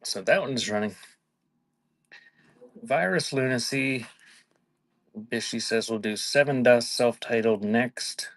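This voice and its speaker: Slow talking laid back guy running a live sale stream, casual chat, dry sound. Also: mid-range voice, no echo. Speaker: live auction seller and host.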